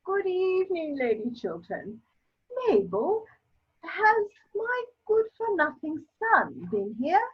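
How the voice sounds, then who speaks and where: Woman as older aristocratic father, unhurried, swooping pitch, grumbling, gallant, period stage comedy, slightly lo-fi. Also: sing-song, heavily acted.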